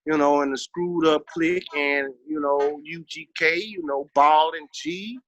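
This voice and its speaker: A man with a high, forceful voice and a Texas drawl speaks casually as an interview guest. He is emphatic, salty and slangy, and the sound is close and dry. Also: a little slow, dry recording, dull audio. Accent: Southern US, Texas. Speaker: interview guest, hip-hop veteran.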